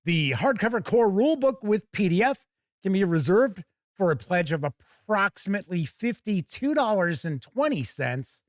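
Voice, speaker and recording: A man's voice: high-pitched, sing-song, moderate pace, no room sound, studio quality. An American man hosting a live tabletop gaming news show, mixing scripted items with casual, theatrical, jokey asides. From United States.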